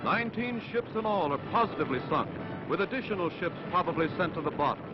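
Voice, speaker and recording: A man's voice: high-pitched, animated, quick pace, over loud music. An American man delivering scripted 1940s newsreel narration in a dramatic, emphatic, declamatory manner. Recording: muffled, very noisy 1940s newsreel soundtrack.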